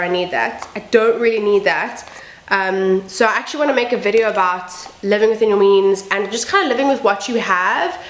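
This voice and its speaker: A woman vlogger talking casually through a makeup tutorial, a little croaky and sniffly from a cold. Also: medium pitch, roomy sound, a little hiss or hum, rough recording. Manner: chatty, upbeat, self-deprecating asides. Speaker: beauty vlogger. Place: home room with building noise outside.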